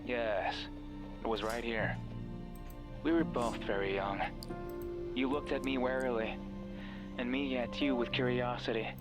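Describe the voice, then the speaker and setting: A man playing a mysterious, controlling guide in a horror game, speaking in a commanding, condescending, deliberate way with menace and false care. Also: mid-range voice, fairly even intonation, close to an everyday voice. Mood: eerie, brooding. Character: mysterious controlling male voice guiding through a hospital, menacing, falsely caring. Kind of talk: horror visual novel voice acting. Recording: music behind the voice.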